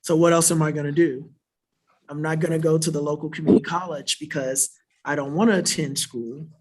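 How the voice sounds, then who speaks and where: Panelist, male, from South Florida, pitched a bit high, earnest explainer who keeps checking in with the room. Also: steady tone, a little fast, noisy background. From South Florida, USA. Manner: earnest and explanatory, often adds a questioning "right?" to his points. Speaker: invited panelist answering audience questions. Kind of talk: unscripted panel answer. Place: church worship center.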